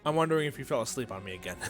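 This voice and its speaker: A man streaming a video game, chatting casually with his viewers in a self-deprecating way. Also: mid-range voice. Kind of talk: casual livestream chat while playing.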